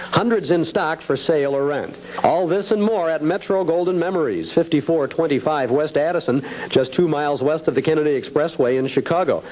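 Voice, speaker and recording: A man's voice: on the high side, darkish tone, a little hiss or hum, rough recording. A man reading a scripted local store commercial as its announcer.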